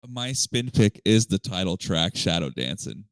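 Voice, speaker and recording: A man chatting casually as a podcast guest, relaxed and talkative. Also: low-pitched, a little slow, clean tone, dry recording. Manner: relaxed, chatty with fillers and laughs.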